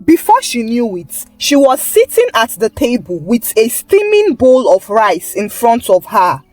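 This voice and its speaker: Animated high-voiced woman storyteller. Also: a little slow, no room sound, soft background music. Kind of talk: scripted folktale narration.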